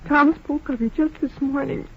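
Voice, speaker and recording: A woman acting a grieving, polite country wife with an American accent, speaking haltingly in old radio drama style. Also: high voice, natural voice. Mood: grieving, tearful. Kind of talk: 1940s radio western acting. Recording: muffled old radio recording.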